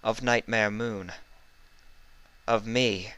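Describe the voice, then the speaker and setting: A man's voice: fairly low pitch, steady tone, extremely slow, fairly bright tone, clear, resonant tone, no echo, poor audio. A man voicing an anxious, guilt-ridden female pony princess from a fan story, pleading and earnest. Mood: frightened. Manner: emotional, earnest, pleading delivery.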